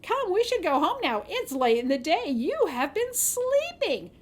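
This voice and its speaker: A woman's voice: very high voice, big pitch swings, measured pace, pitched above the performer's own voice, full character voice. A woman voicing a sweet young girl in a fairy tale, emphatic and singsong. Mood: bright, agreeable.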